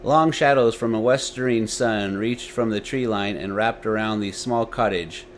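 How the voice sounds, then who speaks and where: A man's voice: on the low side, moderate pace, slight background noise. A man hosting a story series and narrating tales dramatically into a desktop microphone. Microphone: desktop USB microphone.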